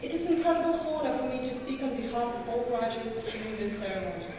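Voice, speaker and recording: Young woman, non-native English accent, higher-pitched voice, formal careful ceremonial speech, heavy hall reverb. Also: fast talker. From outside Australia. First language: not English. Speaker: student speaker at a graduation. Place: large graduation hall. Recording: echoey hall, distant mic, noisy.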